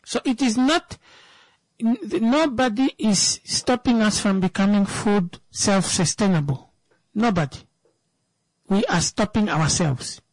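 A woman's voice: mid-range voice, measured pace, a little mellow, dry recording, rough recording. A woman, giving an emphatic opinion talk that turns into a personal story, repeating words for stress.

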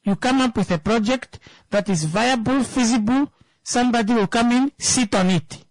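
A woman's voice: on the high side, moderate pace, no echo, low-quality recording. A woman, giving an emphatic opinion talk that turns into a personal story, repeating words for stress.

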